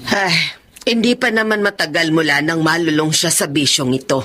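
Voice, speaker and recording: A woman's voice: low-pitched, normal speed. An actress playing a caring, emotional older mother in a radio drama, given to exclamations. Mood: pleading. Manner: expressive, exclamatory.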